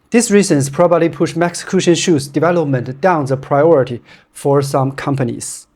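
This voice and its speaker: Brief: male non-native English speaker, Chinese accent, scripted gear reviewer, steady and direct. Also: fairly high pitch. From China. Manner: plain, straightforward, steady delivery. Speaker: running shoe reviewer.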